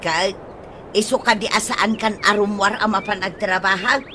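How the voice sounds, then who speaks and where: A woman's voice: medium pitch, fairly even intonation, normal speed, noisy background, lightly acted. A woman playing a plain-spoken middle-aged mother who frets about money, with an Ilocano accent, in a radio comedy drama. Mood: nagging.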